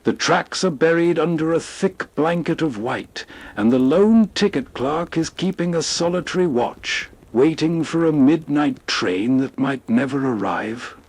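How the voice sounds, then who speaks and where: A man's voice: a little high, animated, unhurried, hardly any pauses, breathy edge. A man hosting with scripted, atmospheric storytelling narration. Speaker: host.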